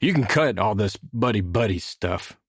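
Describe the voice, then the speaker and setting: A man's voice: on the low side, lively intonation, normal speed, dry recording, clean studio sound. A man voicing a huge, hostile, agitated working man in an audiobook, blunt and confrontational. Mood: angry, accusing. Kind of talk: acted audiobook dialogue.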